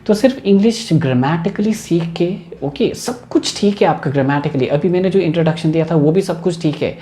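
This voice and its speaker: A man's voice: on the high side, fairly quick, dark tone, roomy sound, soft background music. A man with an Indian accent, an English teacher giving a casual video lesson and mixing English into his talk. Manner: chatty, mixes English into the talk.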